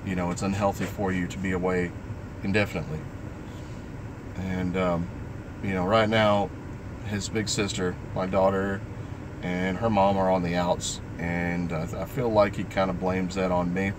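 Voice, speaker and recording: Middle-aged male vlogger, very low voice, halting and earnest personal talk, clear background noise. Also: little pitch movement. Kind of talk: personal vlog talk, live stream.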